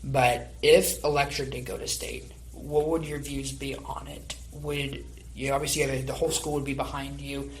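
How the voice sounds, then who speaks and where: Gravelly-voiced man hosting a podcast. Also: mid-range voice, flat delivery, moderate pace, poor audio. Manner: rambling, long-winded questions. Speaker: podcast host. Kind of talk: conversational student podcast interview.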